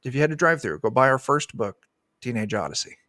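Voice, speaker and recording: A man's voice: medium pitch, big pitch swings, quick pace, sharp tone, no room sound, studio quality. A man in his thirties chatting casually as a game designer guest in an interview. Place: room at home, on video call.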